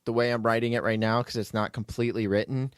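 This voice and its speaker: A man with a middling pitch chats informally about creative work. His sentences come in loose fragments and restarts. The sound is dry, with very little room around it. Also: flat delivery, quick pace, clear, resonant tone, no echo. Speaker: writer and producer, podcast host. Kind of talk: casual behind-the-scenes chat.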